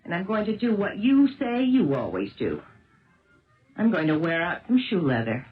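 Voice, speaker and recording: Female amateur sleuth: insistent, emphatic, very expressive radio-play delivery over a narrow, muffled old recording. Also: lowish voice, moderate pace, soft background music. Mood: determined, insistent. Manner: pressing, emphatic, theatrical. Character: dogged, insistent woman turned amateur sleuth. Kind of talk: 1970s radio drama acting.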